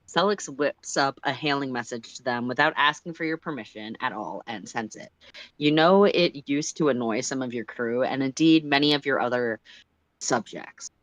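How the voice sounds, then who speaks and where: A storyteller reading fiction aloud in an expressive narrating style. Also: mid-range voice, normal speed, no echo, low-quality recording.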